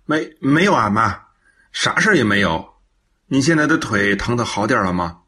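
A man's voice: fairly low pitch, expressive, fast, pushed and bright. A man playing a nervous, evasive but dutiful son in a radio drama, speaking in a hesitant, flustered way. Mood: evasive, defensive.

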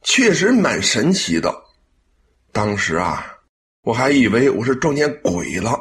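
A man's voice: mid-range voice, sing-song, a little slow. A man acting a devoted, caring young adult son in a Chinese radio drama, speaking earnestly and expressively. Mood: amazed.

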